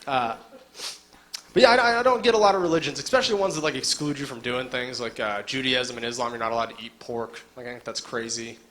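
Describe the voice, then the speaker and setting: Bright-voiced, sardonic male stand-up comic. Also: medium pitch, fast, slight background noise. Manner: casual, sardonic, lots of filler uh. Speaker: stand-up comedian. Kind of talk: stand-up comedy set. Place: live stage venue, indoors.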